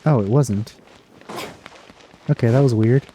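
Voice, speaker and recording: A man casually commenting while playing a video game, relaxed and musing, quick to laugh. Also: medium pitch, slight background noise, low-quality recording. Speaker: gaming video host. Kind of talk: casual let's-play commentary.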